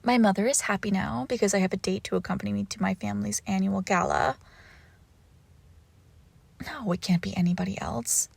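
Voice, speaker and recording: Breathy, teasing vampire girlfriend acted by a woman. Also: medium pitch, fairly even intonation, darkish tone, dry recording. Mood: playful, haughty. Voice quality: breathy, often dropping into whispers. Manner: teasing, haughty, slow and deliberate. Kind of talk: intimate romantic audio roleplay, often whispered.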